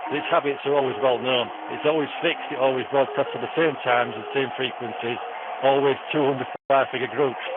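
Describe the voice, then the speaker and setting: A man, a longtime radio hobbyist, explaining things in a measured, matter-of-fact way. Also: mid-range voice, fairly even intonation. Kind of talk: explanatory interview answers. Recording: narrow phone-like sound with loud noise.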